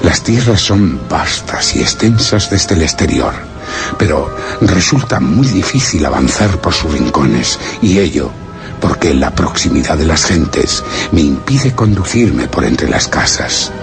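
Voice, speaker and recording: A man playing a solemn, anguished explorer who narrates a horror radio play in grave, measured, literary phrases. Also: a bass voice, sing-song, exaggerated voice. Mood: solemn, brooding. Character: solemn, anguished male explorer recounting grim discoveries. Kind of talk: dramatic radio play narration, first-person horror tale. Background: music.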